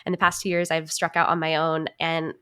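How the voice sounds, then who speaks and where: A woman's voice: low voice, monotone, brisk, sharp tone, smooth. A young woman talking casually as an interview guest, earnest and reflective, working through her thoughts in loosely linked phrases. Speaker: podcast guest, actor and marketing strategist.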